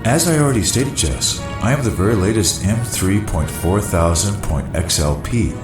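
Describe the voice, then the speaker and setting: An actor playing a formal, literal-minded, self-important artificial intelligence aboard a spaceship in a comedy audio drama. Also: male voice, sits low, expressive, moderate pace. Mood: stiff, proud. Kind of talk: full-cast sci-fi comedy audio drama. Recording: roomy echo, music underneath.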